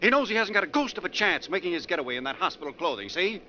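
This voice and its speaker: An actor playing a sarcastic, edgy, talkative bus passenger in a needling, theatrical 1940s radio style. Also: male voice, high voice, big pitch swings, rapid-fire, bright, forceful tone, exaggerated voice. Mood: speculative, intense. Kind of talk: 1940s radio drama acting. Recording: dull, noisy 1940s radio recording.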